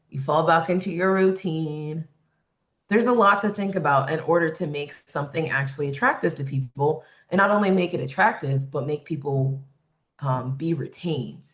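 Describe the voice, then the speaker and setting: A woman with a loud voice facilitating a design webinar, explaining points conversationally and checking in with "right?". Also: low voice. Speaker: workshop facilitator. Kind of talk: webinar presentation.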